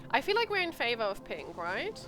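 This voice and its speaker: A woman's voice: on the high side, wildly swooping pitch, a little fast, music in the background. A woman streaming a game, chatting casually and reacting expressively and informally to what happens.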